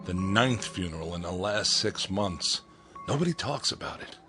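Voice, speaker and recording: A low-voiced, bitter young rebel. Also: male voice, expressive, moderate pace, music in the background. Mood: bitter, defiant. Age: young adult. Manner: impassioned, declamatory. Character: bitter, defiant young man in desperate space colony. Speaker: actor. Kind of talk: dramatic radio acting.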